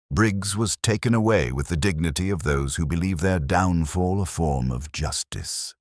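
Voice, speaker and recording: Very deep, weary ageing detective played by a man. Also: dry recording, clean studio sound, heavily acted. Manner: measured, solemn, contemplative. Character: weary ageing male English detective, reflective, melancholy, philosophical. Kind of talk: first-person literary audio drama narration.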